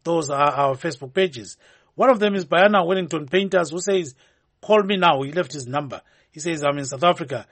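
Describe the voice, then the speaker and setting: A man with a Zimbabwean accent co-hosting a live talk show, presenting and reading out messages in English and Ndebele. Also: a little high. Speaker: radio host.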